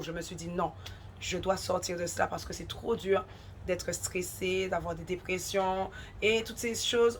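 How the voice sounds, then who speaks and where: Woman host with a cold, earnest and exhortative, mid-range voice, faint background hum. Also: mid-range voice. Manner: earnest, exhortative, repeats for emphasis. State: has a head cold, runny nose. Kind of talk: devotional encouragement talk.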